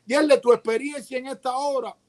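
A man's voice: very high-pitched, steady tone, brisk, noisy background. A man hosting an interview show, talking with enthusiasm. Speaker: show host. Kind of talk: casual interview.

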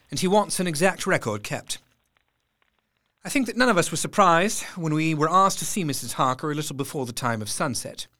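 A composed doctor, narrates his diary formally. His tone is bright and tense, and his pitch is fairly high. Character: doctor Seward, composed diarist.

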